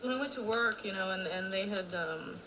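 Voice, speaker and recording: A woman from Tennessee telling an anecdote in a documentary interview, animated and exasperated. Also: mid-range voice, brisk, background noise. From Tennessee, USA. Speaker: case supporter interviewed in a documentary.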